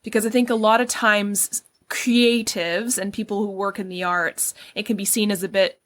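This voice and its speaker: A woman's voice: medium pitch, fairly even intonation, normal speed, fairly bright tone. A woman hosting an interview, speaking earnestly and conversationally about her own career. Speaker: podcast host.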